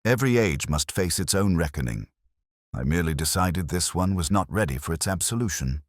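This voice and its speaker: A brooding gentleman detective of Victorian fiction , voiced by a male narrator, very deep in pitch. He is detached and grave, making deliberate, cryptic pronouncements with expressive rises and falls. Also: dry recording, clean studio sound. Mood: reflective, grave. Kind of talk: Victorian detective audiobook dialogue.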